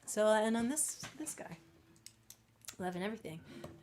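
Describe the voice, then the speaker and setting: A woman talking casually at home between songs of a live-streamed concert, softly and rambling, with many fillers, her voice going hoarse. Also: medium pitch, animated, normal speed, a little hiss or hum. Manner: soft, rambling and self-deprecating, with many fillers. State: losing her voice. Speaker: singer-songwriter performing a home concert. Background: guitar.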